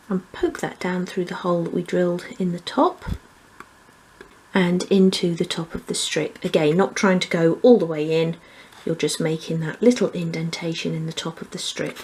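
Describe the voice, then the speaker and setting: Low-pitched woman giving step-by-step craft instructions. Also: darkish tone, a little hiss or hum, poor audio. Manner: methodical, explanatory. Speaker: craft tutorial presenter. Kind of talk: hands-on step-by-step instructional demonstration.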